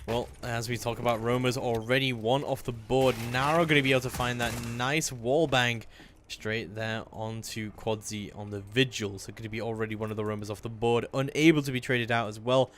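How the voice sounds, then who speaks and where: Male esports play-by-play caster, mid pitch, excitable, slight background noise and clicking. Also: fairly quick. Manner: excitable, building to shouts at big plays. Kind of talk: live video game commentary.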